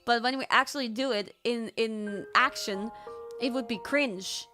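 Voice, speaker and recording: A woman streamer telling stories in casual chat, animated, jumping to squealed quotes. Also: fairly high pitch, unhurried, music in the background. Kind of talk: casual chat, recapping a trip.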